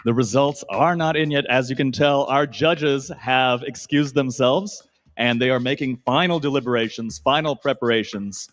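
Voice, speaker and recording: A man co-hosting a live pageant on an arena stage, upbeat and joking with his co-hosts. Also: medium pitch. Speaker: pageant co-host.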